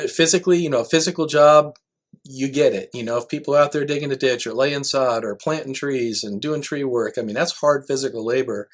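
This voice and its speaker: A man speaking as an air traffic control manager in a podcast interview, explaining things conversationally with everyday examples. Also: mid-range voice.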